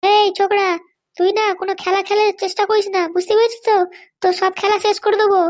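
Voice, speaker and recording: A woman voicing a bossy, hot-tempered mother in a comic cartoon, with sharp scolding talk. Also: extremely high pitch, flat delivery, fast talker.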